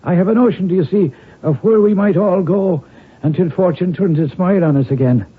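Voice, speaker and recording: Husky, folksy Irish manservant. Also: male voice, high-pitched, fairly quick. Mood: humble, persuasive. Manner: deferential, roundabout, folksy. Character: loyal, humble Irish manservant, wily and deferential. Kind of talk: 1970s radio drama acting. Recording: tinny band-limited radio broadcast.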